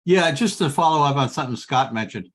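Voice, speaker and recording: A man with a medium-pitched voice asks a question at a meeting. He speaks haltingly and informally, feeling his way through the wording. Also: lively intonation, fairly bright tone. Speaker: meeting attendee asking a question. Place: meeting room.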